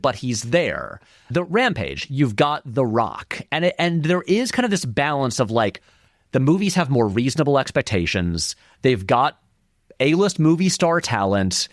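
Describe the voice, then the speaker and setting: Male interview guest, animated and conversational, mid-pitched, loose phrasing with restarts, dry recording. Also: dry recording. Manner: animated, acts out short quotes in passing; filler-heavy, frequent "like" and restarts. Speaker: interview guest, film video essayist. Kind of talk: casual conversational film commentary.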